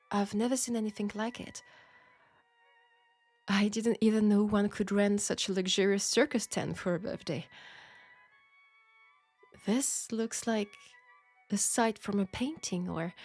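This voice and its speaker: A woman playing a candid, proud French seamstress in a steampunk audio drama, speaking with a French accent in a formal, deliberate way. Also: medium pitch, fairly even intonation, a little mellow, faint music behind. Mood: quietly fascinated. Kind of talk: intimate scripted audio roleplay.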